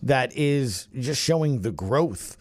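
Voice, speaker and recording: A man's voice: medium pitch, expressive, takes it slowly, no echo. A man hosting an upbeat sports podcast, talking conversationally in punchy phrases and tossing out questions. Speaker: podcast host.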